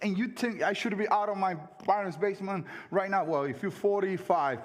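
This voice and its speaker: A man's voice: sits high, normal speed. A man in his thirties, a native Spanish speaker, preaching an earnest sermon who repeats phrases and acts out the doubting voices of his listeners. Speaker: pastor.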